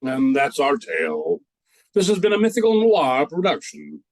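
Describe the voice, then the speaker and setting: A man's voice: a little high, very animated, moderate pace, no echo. A man narrating and announcing a scripted radio drama.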